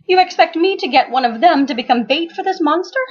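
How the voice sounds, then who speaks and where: A woman voicing a fiery, defiant madam in a story reading, shrill, commanding and dramatic. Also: animated, fairly quick, muffled recording. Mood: indignant, scornful.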